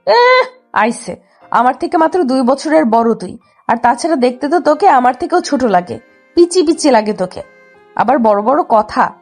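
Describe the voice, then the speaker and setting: Woman acting a high-voiced, bossy college girl. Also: big pitch swings, moderate pace. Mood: mocking. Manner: scolding, sharp-tongued. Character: bossy, hot-tempered young female college student cousin. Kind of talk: acted audio love-story dialogue. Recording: music under the voice.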